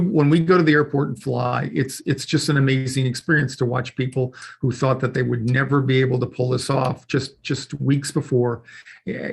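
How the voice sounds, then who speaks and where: A man's voice: mid-range voice, gravelly, slightly rough. A man on a live web show explaining how his course helps nervous flyers, in a reassuring coaching manner. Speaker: fear-of-flying course leader.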